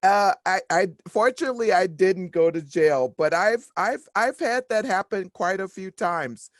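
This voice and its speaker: A woman with a deep voice, a workshop attendee sharing a personal story over a video call, halting and repeating her words. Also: animated, dry recording, studio quality.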